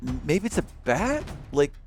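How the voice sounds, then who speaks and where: A man's voice: a little high, sing-song, normal speed, soft background music. A man working as game master, narrating a tabletop game in an improvised, conversational way. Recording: clear background noise.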